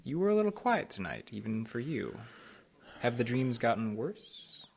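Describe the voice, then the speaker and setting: A man playing a concerned, fatherly support group facilitator who speaks gently and earnestly. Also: mid-range voice, big pitch swings, normal speed, gravelly, slight background noise.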